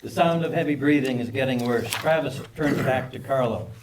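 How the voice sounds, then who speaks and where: A man reads screenplay directions as the narrator of a table read. His pitch is average, and the delivery is scripted narration. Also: moderate pace, background noise.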